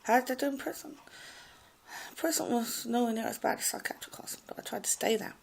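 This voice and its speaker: Posh english lady, gravelly fry in the voice, loud, rambly, lots of ums. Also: a little high, brisk, a little hiss or hum. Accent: posh English public school with a slight American Ivy League clip. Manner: hesitant, many fillers and restarts. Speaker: vlogger telling her life story. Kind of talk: rambling personal monologue.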